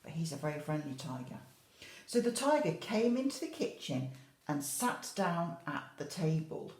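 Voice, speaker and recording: Expressive woman reading a storybook, echoey room. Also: low voice, moderate pace. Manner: expressive, storytelling, prompts children with questions. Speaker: infant school teacher. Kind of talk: animated picture-book reading for young children with asides.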